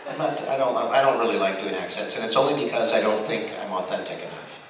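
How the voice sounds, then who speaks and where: A man's voice: lowish voice, fast. American man in a very echoey, noisy hall. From Texas, United States. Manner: rambling, jokey, acts out quoted remarks. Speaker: voice actor and director on a convention panel. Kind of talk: casual panel talk with anecdotes. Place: large room at a fan convention. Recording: very echoey hall, distant mic, clear noise.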